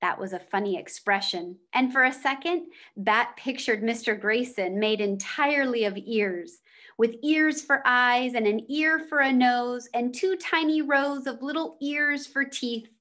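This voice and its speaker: Higher-voiced woman reading a children's story. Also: unhurried, pushed and bright. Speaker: read-aloud reader. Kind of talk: children's novel read aloud.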